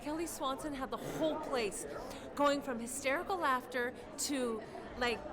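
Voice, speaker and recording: A woman's voice: high voice, a little slow. A woman giving an enthusiastic spoken testimonial as an audience member at an event. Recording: crowd chatter behind the voice. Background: audience crowd.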